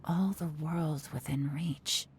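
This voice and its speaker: A woman playing a cool, composed, shrewd investigator in a video game, speaking with measured assurance. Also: sits low, a little hiss or hum. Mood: solemn.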